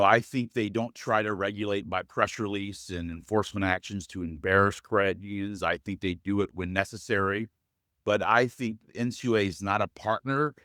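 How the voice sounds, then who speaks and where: A man with a deep, loud voice, speaking as a guest in an interview in an earnest, measured way and often addressing the host by name. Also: no room sound, studio quality. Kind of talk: interview conversation.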